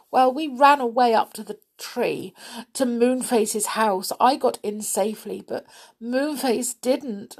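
A tearful, remorseful fairy girl, as a woman storyteller puts her on, her voice pitched high and breathy. She sobs and pleads through her words in a storybook reading. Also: heavily acted.

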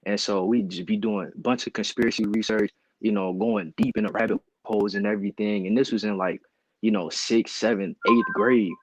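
A man's voice: on the low side, steady tone, fairly quick, poor audio. A young man of nineteen, a podcast guest who tells his story in a casual, chatty way. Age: young adult. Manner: casual and chatty, full of fillers.

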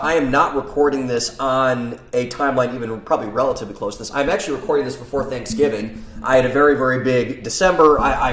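A young man with a stuffy, nasal voice from a cold, talking through a solo podcast with eager, rambling energy and frequent restarts. His pitch sits in the middle range. The sound carries a clear echo from the room around him. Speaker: podcast host. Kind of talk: solo podcast monologue, partly reading his essay. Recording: echoey room.

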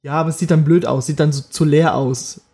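Husky mellow-voiced man chatting. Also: on the high side, fairly even intonation, fairly quick. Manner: rambling, jokey, trails off mid-sentence. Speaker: podcast co-host. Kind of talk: casual chat.

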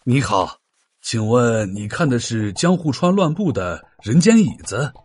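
A man's voice: medium pitch, expressive, unhurried, breathy edge. An actor playing a courteous, hearty mystery fan in his forties in an audio drama. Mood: polite. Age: 40s. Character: bald, bearded widower of forty-four, courteous mystery-novel fan. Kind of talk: multi-cast audio drama acting.

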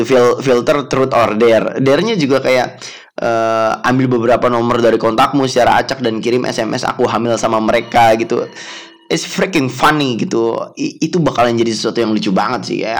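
A young man, talking casually on his podcast in a chatty, self-mocking way with many fillers and laughs. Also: medium pitch. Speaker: podcast host.

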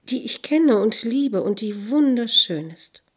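A woman's voice: fairly high pitch, expressive, a little slow, a bit bright, husky, voice pushed up, exaggerated voice. A woman voicing a curious, earnest little girl in a spoken fairy tale. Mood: pleading. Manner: earnest, questioning.

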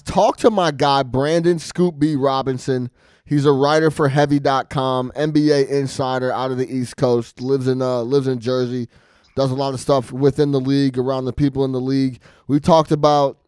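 A man from Chicago hosting a casual sports talk podcast over a call, hyped up, slangy and quick to laugh. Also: on the high side, darkish tone, clear, resonant tone, no echo, clean studio sound. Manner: hype, slangy, laughs often. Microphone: remote call.

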